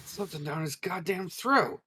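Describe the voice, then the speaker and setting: Guy doing a gruff worked-up space crewman, highish voice, raspy edge. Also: lively intonation, normal speed, sharp tone, exaggerated voice. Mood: alarmed. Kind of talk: scripted table read of a sci-fi film.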